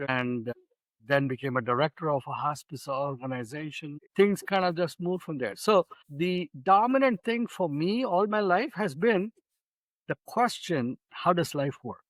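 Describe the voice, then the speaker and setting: Reflective older physician, dry studio sound. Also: male voice, a little high, no room sound, poor audio. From India. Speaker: physician guest. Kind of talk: relaxed storytelling interview. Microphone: studio microphone.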